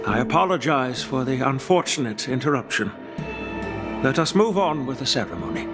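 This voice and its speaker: A man's voice: medium pitch, lively intonation, moderate pace, close to an everyday voice. A man playing a polite, composed ceremony official in a video game, speaking in a formal, courteous manner. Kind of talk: video game character dialogue. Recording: loud music over the voice.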